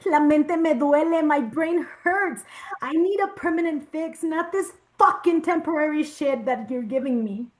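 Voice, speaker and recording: Very high-voiced actress as an unraveling, confrontational woman patient; code-switches Spanish and English, dramatic table read. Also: moderate pace, lightly acted. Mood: bitter, anguished. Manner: confrontational, dramatic, emotional outbursts.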